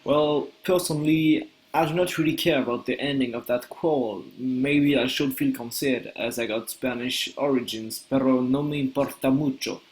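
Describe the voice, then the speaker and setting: A young man playing a male actor who answers an interview question with a relaxed, slightly boastful manner. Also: mid-range voice, normal speed, hardly any pauses, close to an everyday voice, low-quality recording. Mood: offhand.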